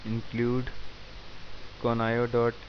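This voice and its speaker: A man walks through code slowly and methodically, in a flat, even voice with little rise and fall. The recording is dull and lo-fi, with a faint hiss underneath. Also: mid-range voice. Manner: methodical, step-by-step explaining. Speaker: programming tutor. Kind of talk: screen-recorded coding tutorial.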